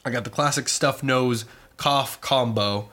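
A man's voice: medium pitch, measured pace, a bit bright. A young man co-hosting a podcast, stuffed up with a cold, joking and playfully reading ad copy. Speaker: podcast co-host. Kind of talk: casual podcast chat.

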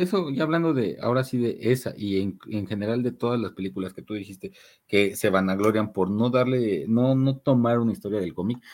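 Mexican man, young adult, mid-pitched voice, slangy, meandering, hesitant fillers. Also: darkish tone. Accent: Mexican Spanish. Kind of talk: casual chat, film discussion.

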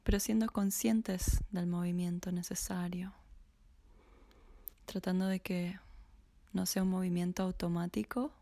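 A woman slowly guiding a body scan meditation in a soft, half-whispered voice. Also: lowish voice, fairly even intonation, creaky, dry recording. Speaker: meditation guide.

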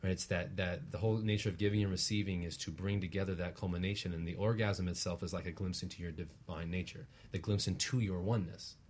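A man's voice: low voice, fairly even intonation, fast talker, few pauses, darkish tone, slight background noise. A man speaking as a guest teacher, earnestly explaining spiritual ideas in a conversational way and often checking in with a short tag question. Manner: earnest, explanatory, often tags phrases with "right?". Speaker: guest, relationship practitioner and teacher.

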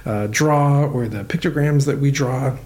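A man's voice: medium pitch, unhurried, darkish tone. Man explaining as a professor. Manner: thoughtful, many "um" and "uh" while explaining. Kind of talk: relaxed explanatory interview answers.

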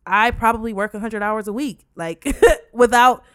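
A woman's voice: on the high side, moderate pace, smooth, no echo, studio quality. Blunt, swearing woman in her twenties. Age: 20s. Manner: blunt, frequent swearing, animated. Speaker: podcast co-host. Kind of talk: casual chat.

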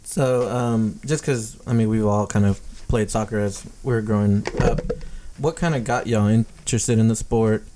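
A man's voice: on the low side, steady tone, normal speed, noisy background. A man co-hosting a podcast, asking casual, rambling questions in an informal way. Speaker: podcast co-host. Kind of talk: casual interviewing.

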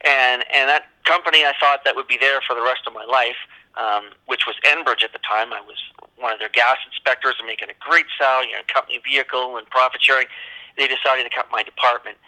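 Canadian man in his forties, very bright voice. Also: medium pitch, steady tone, quick pace, low-quality recording. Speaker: interview guest, guitarist. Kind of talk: casual interview conversation.

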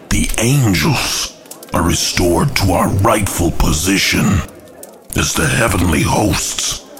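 Man playing very deep, slow, scheming angel. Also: fairly bright tone, exaggerated voice. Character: scheming rebel male angel, grave and persuasive. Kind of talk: audio drama acting.

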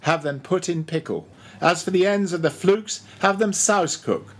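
A man's voice: high-pitched, moderate pace, slightly rough, voice pushed up. A man voicing a bossy, mocking ship's mate who badgers and gives orders in a storybook reading. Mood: instructing. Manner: commanding, interrogating, mock-solemn.

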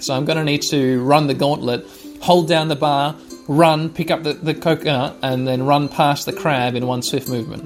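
Wry man narrating a game over music. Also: mid-range voice. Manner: chatty, wry running commentary. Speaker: podcast host playing a video game. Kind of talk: narrating gameplay to listeners.

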